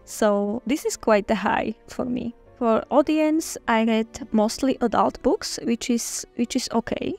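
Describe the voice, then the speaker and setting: Gravelly-voiced woman. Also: fairly high pitch, measured pace, few pauses, a little mellow, faint music behind. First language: Slovak. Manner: chatty, enthusiastic, with small restarts. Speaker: book vlogger. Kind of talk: casual reading-journal flip-through.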